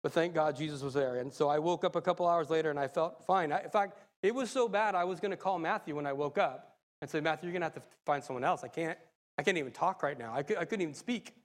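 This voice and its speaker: Man giving a sermon, high voice, slightly raspy and hoarse, excitable, long hall reverb. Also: quick pace. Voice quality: raspy from a cold. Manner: excitable, laughs often. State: hoarse, recovering from a cold. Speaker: lay preacher.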